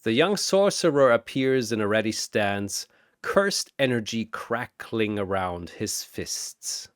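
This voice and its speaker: A man's voice: lowish voice, lively intonation, slow pace, clear, resonant tone, no room sound, clean studio sound. A man narrating a scripted fantasy story in a dramatic, portentous, theatrical manner.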